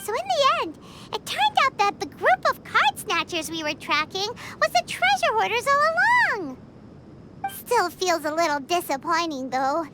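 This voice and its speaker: A woman voicing a tiny, excitable, childlike fairy girl in an anime-style game, with a squeaky voice. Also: moderate pace, heavy background noise. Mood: disappointed. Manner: childish, refers to herself by name.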